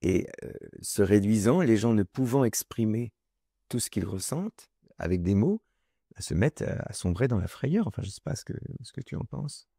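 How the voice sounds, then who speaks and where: A man's voice: fairly low pitch, animated, moderate pace, vocal fry, dark tone, breathy edge, dry recording, clean studio sound. A man talking as a guest in a discussion, speaking reflectively and repeating words while searching for them. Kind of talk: conversational discussion.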